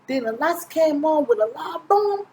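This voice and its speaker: A woman's voice: sits high, animated, moderate pace, darkish tone, a little hiss or hum. A middle-aged woman reads a children's picture book aloud informally, stumbling now and then and correcting herself. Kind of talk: storybook read-aloud narration. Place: small indoor room, seated close to the camera.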